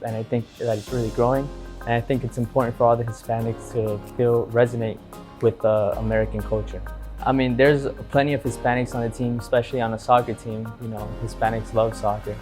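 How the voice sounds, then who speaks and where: A young man from New York City, a soccer player, talking about his background in a relaxed, plain way. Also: mid-range voice, fairly quick, soft background music. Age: young adult. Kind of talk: interview talk.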